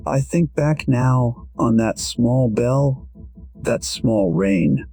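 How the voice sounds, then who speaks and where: A man narrates a first-person audio story at a very slow, measured pace. His voice is husky and reflective, in a medium register. Gentle music plays faintly underneath. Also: medium pitch, dry recording.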